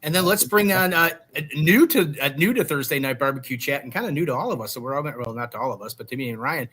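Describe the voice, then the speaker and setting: A man's voice: a little high, animated, quick pace, pushed and bright, breathy edge. A man co-hosting a casual livestream chat show, joking and self-deprecating in banter with his co-host.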